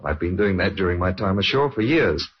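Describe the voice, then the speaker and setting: A man's voice: sits low, lively intonation, a little fast, husky. An actor playing a plain-spoken sailor answering police questions in a 1950s radio drama, a man's voice. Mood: matter-of-fact. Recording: dull old radio recording.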